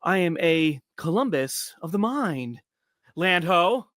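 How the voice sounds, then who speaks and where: A man's voice: high voice, sing-song, slow pace, fairly bright tone, no room sound, clean studio sound, full character voice. A man voicing a bitter, grandiose movie mad inventor with a wounded ego, in a theatrical, pompous, wordy manner. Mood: gloating, grandiose.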